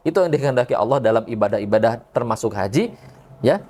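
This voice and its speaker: A man's voice: mid-range voice, animated, fast talker, mellow. A man with a mid-range voice talks through religious ideas as a podcast guest. His delivery is conversational and explanatory, punctuated by short tag questions to his listener. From Indonesia.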